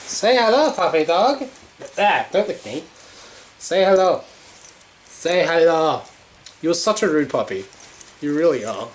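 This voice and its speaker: A man's voice: fairly high pitch, lively intonation, unhurried, pauses often. A man talks casually to his camera at home. He rambles, restarts, swears a lot and jokes at his own expense. A faint background hiss sits under his voice. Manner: rambling, sweary, self-mocking. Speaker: vlogger. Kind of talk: casual vlog chat.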